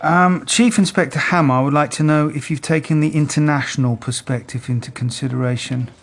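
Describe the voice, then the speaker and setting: A man's voice: fairly high pitch, steady tone, fairly quick. A man playing a mild, weary detective, mumbling and understated, in a radio drama. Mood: awkward. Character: mild, weary male detective caught between colleagues.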